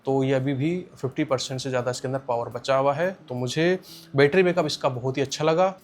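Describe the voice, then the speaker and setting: Indian male reviewer, slightly raised pitch, casual and explanatory, restating key points. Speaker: product reviewer. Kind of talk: casual spoken product review.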